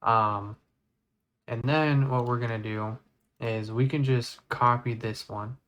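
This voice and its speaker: A man's voice: mid-range voice, fairly even intonation, slow, a bit bright. A man presenting a casual video editing tutorial, going step by step and thinking aloud as he works. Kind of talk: casual screen-recorded tutorial.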